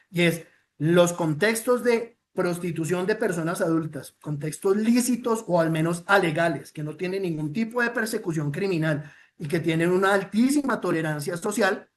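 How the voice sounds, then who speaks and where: High-voiced Colombian man presenting a report. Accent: Colombian Spanish. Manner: earnest, with frequent "eh" fillers and repeated restarts. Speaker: presenter of a child protection report. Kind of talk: formal presentation talk.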